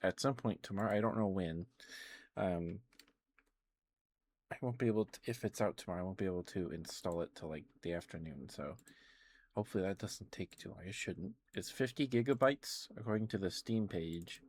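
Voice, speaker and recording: A soft-spoken man hosting a casual ASMR livestream, talking to viewers in an unhurried, affectionate way. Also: low-pitched. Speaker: streamer, host of the show.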